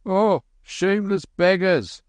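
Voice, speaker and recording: A man voicing a blustering, angry male market gardener who shouts at strangers. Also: fairly high pitch, expressive, a little slow, dry recording, voice pushed up.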